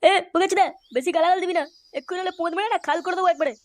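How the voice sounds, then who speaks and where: A woman's voice: extremely high pitch, rapid-fire, faint music behind. Cartoon henchman played by a woman: bullying, sweary, rattling off threats at top speed. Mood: threatening. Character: foul-mouthed bullying young street thug, cocky henchman. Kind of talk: comic cartoon dubbing.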